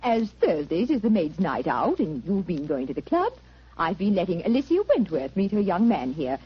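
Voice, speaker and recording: A woman's voice: on the low side, big pitch swings, normal speed, slightly rough, heavily acted. An actress playing a kindly, well-bred doctor's wife in a 1940s radio drama, gracious, effusive and motherly. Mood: bright, confiding. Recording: dull 1940s radio recording.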